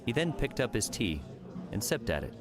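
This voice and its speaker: A man's voice: mid-range voice, very animated, moderate pace, crowd noise. A man reading scripted third-person story narration as an audiobook narrator.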